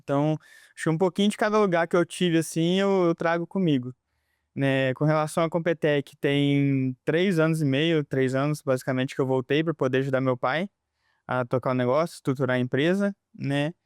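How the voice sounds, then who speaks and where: A man's voice: fairly high pitch, steady tone, fairly quick, clear, resonant tone, no echo, clean studio sound. Reflective man in his thirties. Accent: mixed Minas Gerais accent. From Minas Gerais, Brazil. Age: 30s. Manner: reflective, frequent tag questions. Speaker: guest, electrical engineer. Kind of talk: interview self-introduction.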